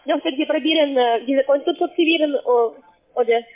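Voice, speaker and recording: Female call-center agent, high voice, foreign accent, non-native broken phrasing, halting scripted pitch, tinny phone audio. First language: not German. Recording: tinny, narrowband phone line.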